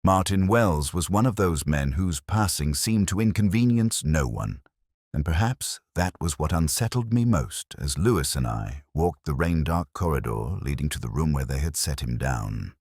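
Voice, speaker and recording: An extremely low male voice from an actor carries a weary, brooding older police inspector through his own case notes. The delivery is measured, sombre and literary, as in a scripted detective audio drama. The recording is dry and close, with very little room sound. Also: lively intonation, a little mellow, breathy edge, no echo, full character voice. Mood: uneasy, pensive. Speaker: narrator.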